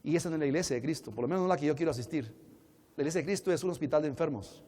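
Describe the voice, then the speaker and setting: Higher-voiced Colombian preacher in an echoing hall. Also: male voice, rapid-fire, warm tone. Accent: Colombian Spanish. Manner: conversational, rhetorical questions, acts out dialogue. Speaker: preacher and conference speaker. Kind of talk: sermon-like seminar teaching to an audience. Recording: echoey hall with long reverb.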